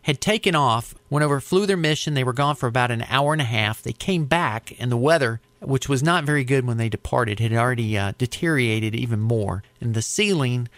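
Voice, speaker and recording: A middle-aged man with an American accent, telling his experiences in a conversational interview in a reflective, explanatory way with halting phrasing. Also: medium pitch, animated, fairly bright tone, dry recording. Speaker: interview guest, aviation safety advocate.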